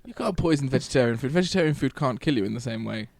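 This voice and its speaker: A man with a Liverpool accent co-hosting a comedy podcast, quick and jokey in casual chat. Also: mid-range voice, lively intonation, creaky, a little mellow. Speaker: podcast co-host.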